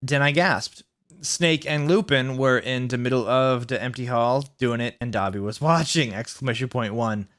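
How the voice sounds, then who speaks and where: A man hosting a comic read-aloud of fan fiction, sardonic, with mocking asides and deliberately kept mistakes. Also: medium pitch, fairly bright tone. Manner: deliberately reads out misspellings, sardonic.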